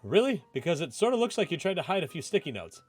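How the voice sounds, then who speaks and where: A cheerful, caring young woman from a visual novel, voiced by a man, lifted well above his usual pitch. She speaks eagerly, with gentle insistence and a shy, apologetic streak. Music plays along with the voice. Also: very animated, quick pace. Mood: curious, teasing.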